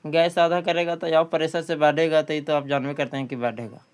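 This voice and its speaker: Male exam coach, Bihari accent, exceptionally clean smooth voice, fairly even pitch, didactic question-and-answer delivery with repetition. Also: a little fast. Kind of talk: quiz lesson, reading questions aloud and answering them.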